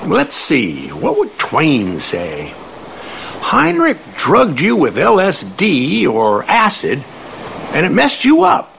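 A man's voice: a little high, wildly swooping pitch, creaky, fairly bright tone, slight background noise, exaggerated voice. A man acting a wry, elderly, folksy confidant who speaks in aphorisms in an audio play. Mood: wry, philosophical. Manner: folksy, slow, quoting aphorisms.

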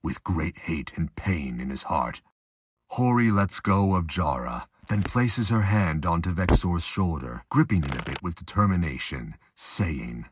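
Narrator for fan audio drama: male, deep register, dramatic scripted read, slight noise in the recording. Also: big pitch swings, measured pace.

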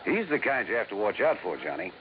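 Male, confident folksy federal agent for vintage radio drama; animated, emphatic talk on a narrow, slightly noisy recording. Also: lowish voice, fast. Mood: explaining. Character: assured, folksy male FBI agent, an old friend. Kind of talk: 1950s radio drama acting.

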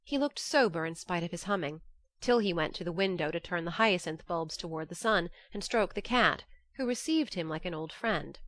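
A woman audiobook narrator giving an expressive first-person reading of literary fiction. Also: fairly low pitch, normal speed, no room sound.

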